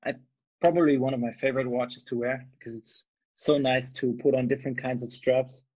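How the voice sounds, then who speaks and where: A man from Austria hosts a relaxed podcast conversation. His voice is a bit husky and his manner is agreeable and easy. He often answers with short, friendly replies. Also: medium pitch, a little fast, poor audio. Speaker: podcast host. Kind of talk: casual chat.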